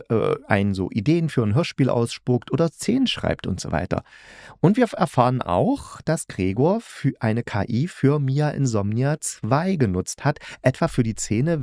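A man's voice: mid-range voice, a little mellow, dry recording, studio quality. A man hosting a podcast, reviewing in a casual, chatty way and breaking into his own sentences with asides. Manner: chatty, self-interrupting asides. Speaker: podcast host reviewing audio dramas.

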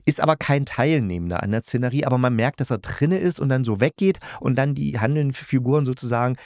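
A man's voice: medium pitch, fast, a little mellow, no echo, studio quality. A man hosting a casual review monologue, talking enthusiastically and in a rambling way as he searches for words. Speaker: podcast host.

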